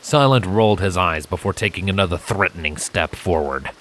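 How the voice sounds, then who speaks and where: A man's voice: deep voice, brisk, a bit bright, a little hiss or hum. A man narrating a fantasy story in a dramatic, scripted storytelling style. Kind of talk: dramatic fan fiction narration. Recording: rain sound effects behind voice. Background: rain ambience.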